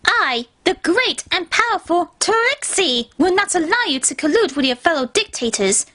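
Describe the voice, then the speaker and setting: A woman's voice: very high-pitched, very animated, normal speed, bright, forceful tone, full character voice. A woman voicing a boastful, demanding young female pony magician with a haughty, theatrical manner in a cartoon fan fiction reading. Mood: indignant, grand.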